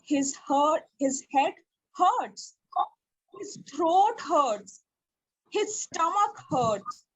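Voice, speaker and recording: High-pitched woman reading a story slowly. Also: sing-song, fairly bright tone, low-quality recording. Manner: careful, halting reading. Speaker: narrator in a reader's theatre. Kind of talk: slow read-aloud story narration.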